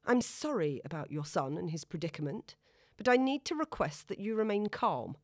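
A composed senior doctor speaks with firm, formal calm, as a woman reads her. The voice is low-set and close, with no room sound. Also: normal speed, no echo. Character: calm, composed female senior consultant doctor, mid-forties.

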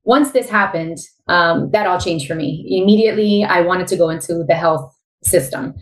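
A woman's voice: fairly low pitch, normal speed, roomy sound, poor audio. A woman in her thirties with a Miami accent, a guest telling her story openly in a casual interview. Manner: open, candid storytelling.

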